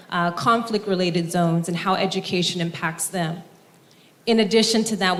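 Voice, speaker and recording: A young woman moderating a university panel discussion in a formal, measured way, with frequent ums. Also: on the low side. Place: university conference hall.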